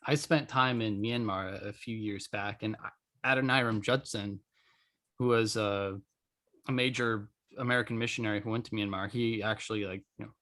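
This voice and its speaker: Lowish-voiced man hosting, halting delivery. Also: steady tone, bright, forceful tone. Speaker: podcast host. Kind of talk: casual discussion.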